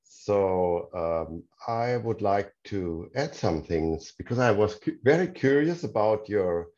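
A man's voice: fairly low pitch, lively intonation, slow pace, a little mellow, lo-fi sound. A man with a German accent, a non-native English speaker, joining an online meetup discussion as an audience member and searching hesitantly for words. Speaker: audience member asking a question.

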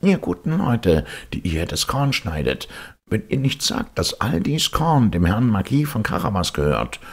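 Man voicing a sly, gravelly talking tomcat. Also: lowish voice, big pitch swings, unhurried, pitched above the performer's own voice, full character voice. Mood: threatening. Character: cunning, bossy talking tomcat in boots.

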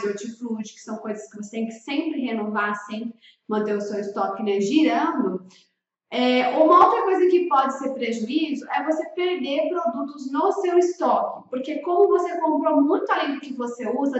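A Brazilian woman with a high voice presents an instructional vlog in an emphatic, didactic way. She sounds set back from the microphone, and the room rings with heavy echo. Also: a bit bright. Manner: emphatic, didactic, with rhetorical questions. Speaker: food-service consultant and video host. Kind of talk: instructional vlog addressed to restaurant owners. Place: small indoor room with bookshelf. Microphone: large microphone with pop filter in front of her. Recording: echoey room, distant, slightly dull sound.

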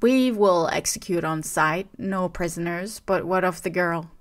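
A sniveling male officer drawls his doubts slowly and without reverence, as a woman reader puts him on. He sounds resentful and snide, in a storybook-style reading. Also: lowish voice, lightly acted. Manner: sniveling, slow, insincere.

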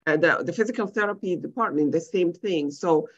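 A woman's voice: lowish voice, brisk, slightly rough. Middle-aged woman, dull video-call sound. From Egypt. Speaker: physician panelist. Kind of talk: conversational panel discussion.

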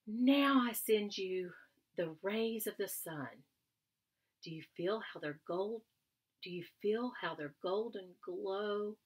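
Storybook mouse poet, male, dreamy and gentle, slow soft recital, a bit husky; a woman reads him. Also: medium pitch, voice pushed up.

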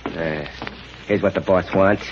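A man's voice: low voice, fairly even intonation, measured pace. An actor playing a tough, curt, menacing gangster henchman in 1930s radio drama style. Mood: threatening. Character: tough male gangster henchman, threatening and sneering. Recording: noisy muffled old radio recording.

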